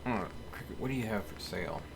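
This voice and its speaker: A man's voice: on the low side, fast talker, gravelly. A man giving casual live commentary as he streams a video game. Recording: faint background noise.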